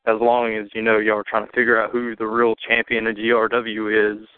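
A man with a smooth, clean voice boasts and fires back at his rivals in a wrestling promo. He sounds defiant and cocky. The sound is dry and thin, like a phone call. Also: on the low side, monotone, brisk, no room sound. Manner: boastful, defiant, talks over others. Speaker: wrestling challenger cutting a promo. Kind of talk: trash-talking wrestling promo over a call. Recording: tinny phone line.

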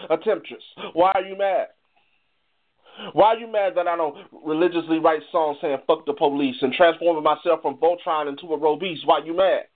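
A man performing spoken word poetry in an emphatic, confrontational manner full of rhetorical questions. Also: a little high. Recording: thin, phone-like band-limited sound.

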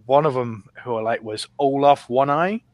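A man's voice: mid-range voice, darkish tone, dry recording. Man rambling casually, close dry recording. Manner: rambling, halting storytelling. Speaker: podcast panelist. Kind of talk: casual chat, recounting gameplay.

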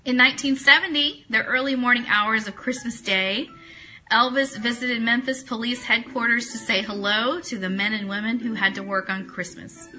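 A woman's voice: a little high, steady tone, moderate pace, bright, forceful tone, faint music behind, rough recording. A woman hosting an online fan radio show, reading a prepared account aloud and greeting viewers.

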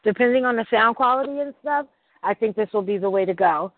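A husky host chats loosely and excitably, full of fillers and swearing. The sound is thin and phone-like, with no room around it. The voice sits at a middle pitch. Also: fast, dry recording. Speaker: podcast host. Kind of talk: casual call chat. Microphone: call audio. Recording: tinny phone-like call audio, dry.

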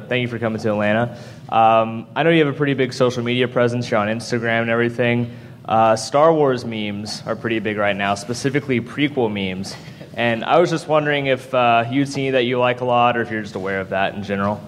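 A man's voice: medium pitch, brisk. A man in the audience asking a question at a convention panel, polite and a little hesitant. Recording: reverberant hall with faint noise.

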